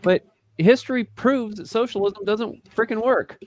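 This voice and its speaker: A man's voice: sits high, moderate pace, darkish tone. A man in his early forties chatting casually in a group, often repeating his own phrases. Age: early 40s.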